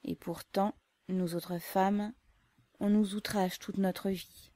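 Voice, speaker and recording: A woman's voice: medium pitch, fairly even intonation, slow pace, mellow, dry recording, close to an everyday voice. A woman reading aloud, voicing a devout older mother who speaks earnestly and a little reproachfully. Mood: wistful.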